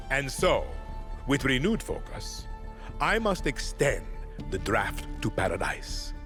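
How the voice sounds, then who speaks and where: An actor playing a commanding, menacing middle-aged male dictator, speaking in a measured, theatrical way in a game cutscene. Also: on the low side, fairly bright tone. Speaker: president addressing the nation. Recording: film score behind the voice.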